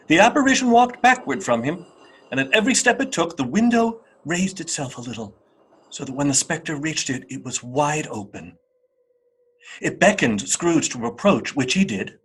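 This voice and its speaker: A man narrating a radio play as a scripted, dramatic storyteller, with a slightly husky voice. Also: medium pitch, fairly bright tone, poor audio.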